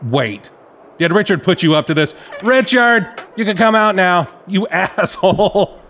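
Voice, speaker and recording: A man's voice: high-pitched, lively intonation, measured pace, fairly bright tone, slight background noise, full character voice. A man playing a flustered, defensive novelist in an audio play, with an indignant manner. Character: flustered, defensive male novelist confronted by his creations.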